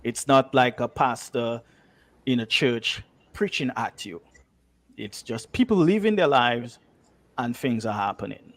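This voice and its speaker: A man with a mid-pitched voice talks as a guest writer in an interview. He is conversational and emphatic, drifting into anecdotes and circling back over his phrases. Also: animated, unhurried, a bit bright, dry recording.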